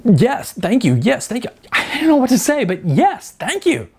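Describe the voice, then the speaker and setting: A narrator voicing a sweet, excitable young actress with a gushing, halting delivery. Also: medium pitch, slightly rough, voice pushed up, full character voice. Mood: shrieking joy.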